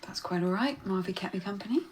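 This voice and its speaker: A woman's voice: mid-range voice, fast talker, natural voice. A woman playing a dry, sardonic geophysicist. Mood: cutting. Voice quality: dry, cutting. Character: cool, sardonic young female geophysicist. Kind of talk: radio drama acting.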